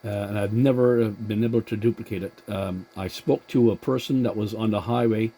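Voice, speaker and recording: A man talks casually as a radio operator. His voice is fairly low, dark and relaxed, and he goes haltingly, often repeating a word before carrying on. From Atlantic Canada.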